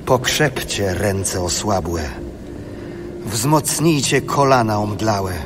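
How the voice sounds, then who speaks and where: A man's voice: on the low side, animated, slow pace, background noise. A man giving a solemn, dramatic narration of scripture for an audio production.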